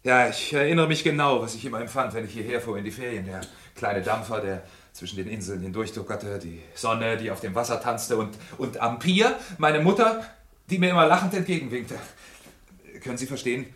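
An actor playing an unhinged, obsessive gentleman murderer in a radio crime drama, speaking in a halting, courteous way with menace. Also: medium pitch, close to an everyday voice. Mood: wistful.

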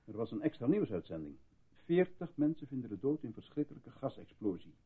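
An actor playing a controlling, evasive male doctor in a radio drama, speaking formally in a husky, patronising voice. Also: medium pitch, sing-song. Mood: calm, evasive. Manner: formal, patronising, measured reassurance. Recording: muffled lo-fi old radio recording.

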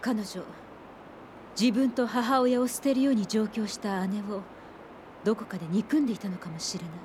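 An actress playing a composed female investigator in a radio drama, measured and solemn. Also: medium pitch, darkish tone, slight background noise, close to an everyday voice. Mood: somber. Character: composed, grave female investigator explaining a tragedy. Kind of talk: Japanese radio drama acting. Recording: long hall reverb.

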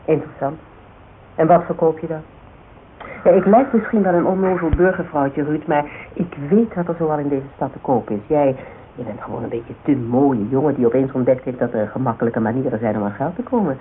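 A woman's voice: low-pitched, fairly quick, close to an everyday voice. An actress playing a frank, sarcastic, worldly woman in a Dutch radio drama. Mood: firm, matter-of-fact. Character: worldly, plain-spoken woman, blunt and teasing. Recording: tinny band-limited radio recording, faint hiss.

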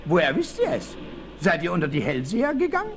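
A man's voice: high voice, wildly swooping pitch, brisk, exaggerated voice. A man playing a quirky, wry frontiersman in a 1960s German radio play, teasing and exclamatory. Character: quirky, wry male frontiersman nicknamed an aunt. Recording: slightly noisy old vinyl.

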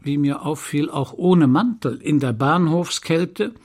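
A man playing a writer who recounts his life in a literary radio monologue, measured, dry and deliberate. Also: a little high, expressive, darkish tone. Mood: reflective. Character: deadpan male writer solemnly recounting his uneventful life.

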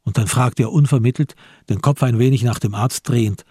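A man reading scripted literary narration as the narrator of a radio play, with a husky voice. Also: medium pitch, brisk, mellow, dry recording, studio quality.

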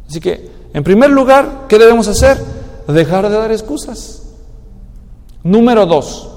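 A man's voice: high voice, expressive, a little slow, darkish tone. A man preaching a sermon in an emphatic manner, now and then mimicking people's excuses. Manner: emphatic, acts out excuses in a mocking tone. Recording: long hall reverb.

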